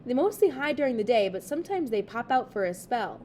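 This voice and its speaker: A woman's voice: a little high, very animated, fairly quick, darkish tone, slight background noise. A woman voicing an eager, outgoing, folksy girl in a storybook read-aloud, with an emphatic way of talking. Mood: spooky, teasing.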